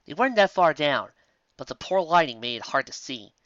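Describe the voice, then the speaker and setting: A man reading a story aloud in an expressive, animated storybook manner. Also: on the high side, fairly quick, no echo. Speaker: audiobook reader. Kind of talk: storybook narration. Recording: dull lo-fi dry recording.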